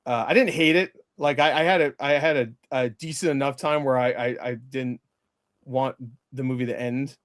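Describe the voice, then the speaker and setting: A man's voice: mid-range voice, normal speed, no room sound. A man in a casual movie review chat, recorded close and dry with no room sound. He talks in a rambling, blunt style and leans emphatically on his opinions.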